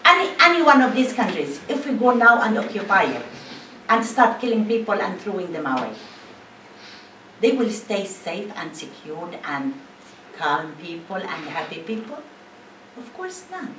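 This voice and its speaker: A woman's voice: mid-range voice, sing-song, normal speed, pushed and bright. A woman from northern Israel, speaking emphatically and asking rhetorical questions in a public talk. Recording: echoey room, mic at a distance, faint hiss.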